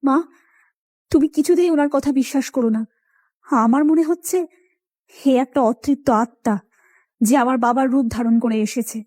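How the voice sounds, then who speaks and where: A woman voicing a sharp-tongued, quarrelsome young village woman in a melodramatic cartoon, with drawn-out, indignant phrasing. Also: sits high. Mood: wary, urgent.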